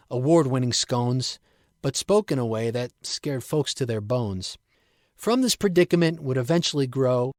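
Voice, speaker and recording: A man's voice: a little high, no echo, studio quality. A man reciting his own playful rhymed poem. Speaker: poet reading own work.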